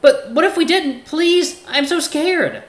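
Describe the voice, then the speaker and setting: Man voicing a high-pitched, melodramatic cheetah guy. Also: huge pitch swings, moderate pace, a bit bright, roomy sound, full character voice. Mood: feigned panic. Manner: exaggerated panicked pleading. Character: jittery, melodramatic male cheetah, a terrible liar.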